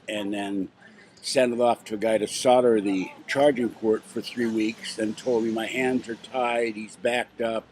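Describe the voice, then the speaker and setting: A man's voice: on the low side, a little mellow, slight background noise. Indignant older man ranting on a vlog. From Pittsburgh, USA. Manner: indignant, rambling storytelling. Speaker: vlogger. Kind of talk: casual vlog rant.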